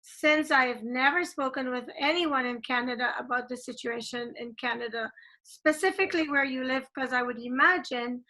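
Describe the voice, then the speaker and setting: A woman's voice: sits high, normal speed, pushed and bright, poor audio. A woman from Guyana hosting a casual video-call interview, chatty and eager, her statements often rising like questions. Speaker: interview host.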